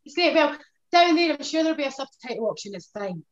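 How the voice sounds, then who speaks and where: A woman's voice: high voice, big pitch swings, fast talker, a little hiss or hum. A Scottish woman in her thirties with a Glasgow accent, a guest chatting enthusiastically on a video call from her living room. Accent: Glaswegian Scottish. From Scotland. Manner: upbeat, warm laughter, enthusiastic. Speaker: guest, hair colour director. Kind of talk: casual video-call interview. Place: living room with sofa, indoors. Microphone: video call laptop. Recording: dull lo-fi video-call audio.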